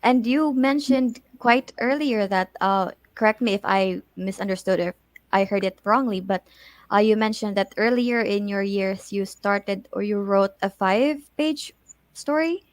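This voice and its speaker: A woman's voice: mid-range voice, measured pace, no echo. Polite woman interviewer, dry close sound. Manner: polite, tentative questioning with fillers. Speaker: interviewer host. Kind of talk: interview questions.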